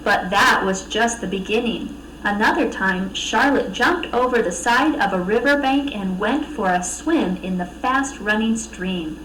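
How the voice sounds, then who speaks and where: A woman's voice: mid-range voice, measured pace, few pauses, a bit bright, recorded from a distance. Woman reading a storybook in an echoey room. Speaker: storybook reader. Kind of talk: expressive children's story narration. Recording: echoey room, off mic, faint noise.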